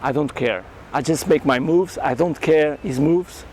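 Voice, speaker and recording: A man with a Portuguese accent and Portuguese as his first language, telling his story in halting English as an interview guest. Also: a little high, darkish tone, slight background noise. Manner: earnest, halting non-native English with many restarts. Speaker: karate champion and entrepreneur, guest.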